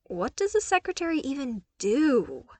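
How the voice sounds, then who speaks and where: A woman's voice: sits high, huge pitch swings, normal speed, warm tone, dry recording, pitched above the performer's own voice. A woman voicing an earnest, bewildered young male engineer who asks questions hesitantly in an audiobook drama. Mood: puzzled.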